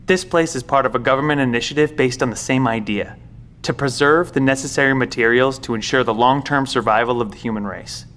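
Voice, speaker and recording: Audio drama: weary, secretive male professor, played by a man, mid-range voice, measured explainer. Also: medium pitch, a bit bright, lightly acted. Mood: grave, explanatory. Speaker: professor, secret bunker guardian.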